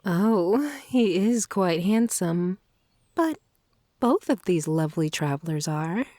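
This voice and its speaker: A woman's voice: fairly low pitch, lively intonation, slow, dark tone, dry recording. A woman playing a confident, flirtatious forest nymph in a fantasy audio drama, coaxing and sultry. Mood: admiring.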